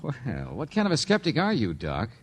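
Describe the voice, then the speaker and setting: An actor playing a confident, wry private investigator with a brisk, commanding manner in an old radio drama. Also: male voice, a little high, wildly swooping pitch, slightly rough, heavily acted. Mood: assertive. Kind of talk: 1940s-50s radio detective drama acting.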